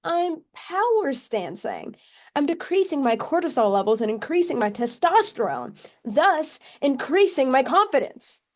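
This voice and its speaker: A woman playing a flustered, eager-to-please presidential secretary, nervous, halting and earnest, in a comedy play table read. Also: high-pitched, big pitch swings. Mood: earnestly assertive.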